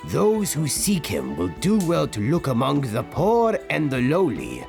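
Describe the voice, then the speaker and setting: A man playing a venerable, kindly old rabbi in an audio drama, speaking gently like a teacher of prophecy. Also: medium pitch, huge pitch swings, gravelly, music in the background, heavily acted. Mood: grave, reassuring. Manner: slow, gentle, oracular.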